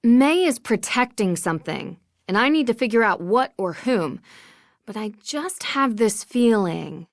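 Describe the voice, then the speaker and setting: A woman's voice: on the high side, lively intonation, a little slow, dry recording, clean studio sound. An actress playing an earnest, determined young female detective in a video game, explaining things methodically. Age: young adult. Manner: clear-headed, methodical, explanatory.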